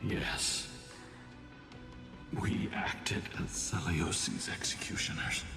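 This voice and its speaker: An actor playing a solemn, imperious godlike being in a game cutscene, making grandiose proclamations. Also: male voice, low-pitched, big pitch swings, slow, creaky, distant mic, heavily acted. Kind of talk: scripted game cutscene acting. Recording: echoey hall reverb, loud orchestral music behind.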